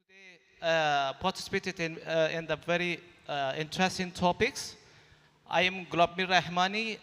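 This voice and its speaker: A man with an Afghan accent puts a formal, halting question from the audience at a public debate in a large hall. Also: on the high side, fairly even intonation, pushed and bright, smooth. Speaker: audience member asking a question. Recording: long hall reverb.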